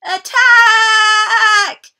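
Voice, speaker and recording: Woman as a plucky, high-voiced young schoolboy. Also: steady tone, extremely slow, sharp tone, raised voice, full character voice. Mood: commanding. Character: brave, eager young schoolboy adventurer.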